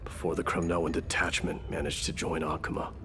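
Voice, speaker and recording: An actor voicing a stoic exiled warrior prince in a video game, speaking in a solemn, measured, reflective manner as he mourns fallen comrades. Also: extremely low pitch, flat delivery, background noise. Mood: grave, sorrowful. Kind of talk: dramatic scripted game narration.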